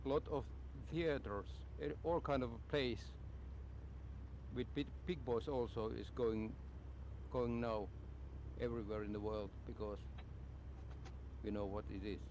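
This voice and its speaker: A man's voice: medium pitch, fairly even intonation, a little slow, long pauses, darkish tone, a little hiss or hum, rough recording. A man from Belgium joining a group flight chat, joking and laughing at his own remarks. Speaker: stream participant.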